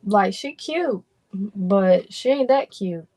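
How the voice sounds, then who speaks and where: A young adult woman hosting a livestream in a relaxed, drawling, chatty way. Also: medium pitch, slow pace, noisy background. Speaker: streamer and host. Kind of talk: casual livestream chat.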